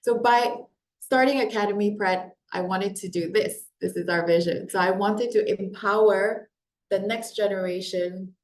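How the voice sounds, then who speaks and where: A woman's voice: medium pitch, moderate pace. Upbeat woman hosting a webinar. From Korea. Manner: upbeat, welcoming, with frequent fillers. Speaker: webinar host and company founder. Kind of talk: live webinar presentation. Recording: echoey room.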